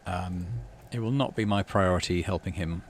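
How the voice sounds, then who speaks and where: A man's voice: deep voice, moderate pace, a little hiss or hum. A middle-aged man giving casual commentary as he plays a video game. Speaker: let's play host. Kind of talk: casual game commentary and reading text aloud.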